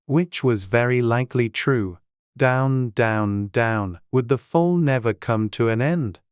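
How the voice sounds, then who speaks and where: Slow, very smooth audiobook narrator. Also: medium pitch, no room sound, clean studio sound. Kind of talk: scripted storybook narration.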